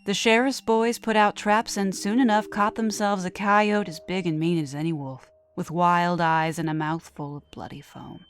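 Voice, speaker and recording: Low-voiced woman narrating a horror story. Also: steady tone, moderate pace, hardly any pauses, clear, resonant tone. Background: background music.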